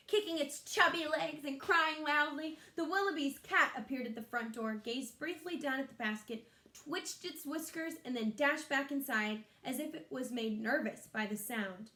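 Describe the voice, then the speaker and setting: Woman, higher pitch, expressive storybook read-aloud, teacher reading to children, echoey room. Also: moderate pace, few pauses.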